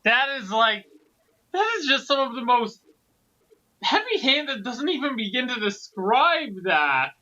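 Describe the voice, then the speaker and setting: Guy chatting commentary, really high and piercing voice, dull lo-fi audio. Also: big pitch swings, unhurried, sharp tone. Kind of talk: casual commentary.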